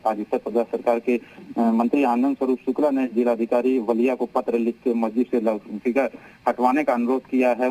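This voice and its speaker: Male field correspondent, mid-pitched voice, reporting live and unscripted with hesitant repetitions. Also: a little mellow, low-quality recording. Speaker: news correspondent.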